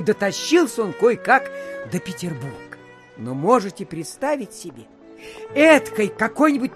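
Rambling, theatrical provincial postmaster, swooping delivery. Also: male voice, very high voice, exaggerated voice. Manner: wordy, full of filler phrases, dramatic pauses and swells. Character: garrulous provincial postmaster spinning a pompous, rambling tale. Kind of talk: theatrical storytelling in an audio play.

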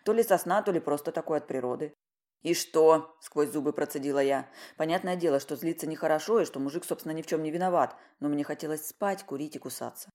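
Low-voiced woman narrating a story, wryly. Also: quick pace, hardly any pauses, darkish tone. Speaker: audiobook narrator. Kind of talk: first-person story narration, expressive reading.

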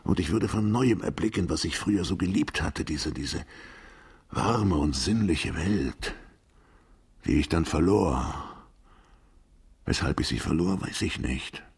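An actor in a literary radio drama playing a world-weary, fatalistic writer who speaks in a deliberate, formal, philosophical way with a gravelly, husky voice. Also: male voice, sits low, animated, heavily acted. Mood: haunted, intense. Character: world-weary, fatalistic male writer, once left for dead, brooding and enigmatic.

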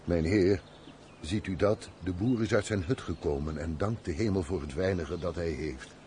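Very deep-voiced, earnest manservant played by a man. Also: normal speed, dark tone, natural voice. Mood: respectful. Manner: formal, earnest, theatrical. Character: earnest, deferential manservant to a gloomy master. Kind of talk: theatrical Dutch radio play acting. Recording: noisy old radio play recording.

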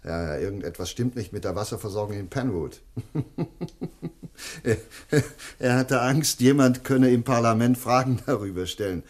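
An actor playing a polite, earnest scientist in a German radio play, speaking in a measured, slightly hesitant, courteous way. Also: male voice, medium pitch, close to an everyday voice. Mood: wry. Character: polite earnest male scientist, doctor and government investigator.

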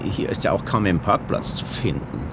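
An actor playing a bland, reassuring government official in a German radio play, chatty and placating, recorded over car and street noise. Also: male voice, deep voice, lively intonation, moderate pace, vocal fry. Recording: noisy car and street ambience.

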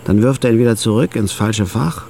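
A man narrating a radio drama in the first person as a store clerk, in a flat, laconic, hard-boiled way. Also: lowish voice, brisk, vocal fry, slight background noise.

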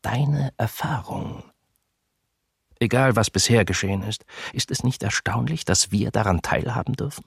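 An actor playing a homesick German soldier in his early thirties, reading his diary in a radio docudrama with husky, measured delivery. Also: medium pitch, dry recording, clean studio sound. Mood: brooding, grim. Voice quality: husky, gravelly.

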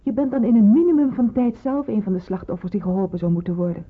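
A muffled, phone-band radio play recording of an actress as a stern, caring senior nurse. Her voice is strongly husky and breathy, with an insistent, commanding way of talking. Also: medium pitch, steady tone, fast, close to an everyday voice. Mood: urgent, pleading. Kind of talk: radio drama acting.

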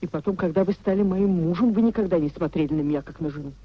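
An actress in a 1950s Russian radio play as a nobleman's dignified, wronged wife, speaking in a measured, theatrical way. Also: on the low side, natural voice. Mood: reproachful. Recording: dull, muffled 1950s radio recording.

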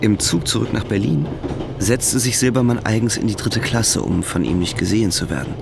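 An actor narrating a literary radio play in a measured, matter-of-fact storytelling manner. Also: male voice, lowish voice, expressive, vocal fry, noisy background.

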